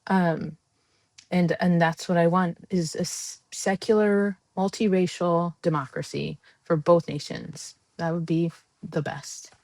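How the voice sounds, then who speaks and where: Impassioned low-voiced woman guest. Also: fairly even intonation, measured pace, darkish tone, no echo. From United States. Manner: earnest and impassioned, frequent ums and restarts. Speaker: guest, democracy advocate. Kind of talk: conversational podcast interview.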